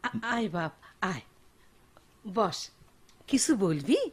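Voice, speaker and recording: A woman's voice: fairly low pitch, big pitch swings, takes it slowly, slightly rough, no echo, heavily acted. A woman playing a loving elderly village mother and grandmother in a Bengali audio drama, tender and plaintive, with a rural Bangladeshi accent. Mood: warm, indulgent.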